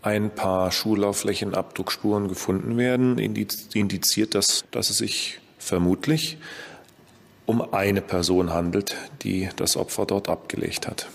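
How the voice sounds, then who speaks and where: Low, gravelly man in long reverb. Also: fairly even intonation, a little slow. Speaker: forensic expert. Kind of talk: explanatory documentary interview. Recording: long reverberant hall echo.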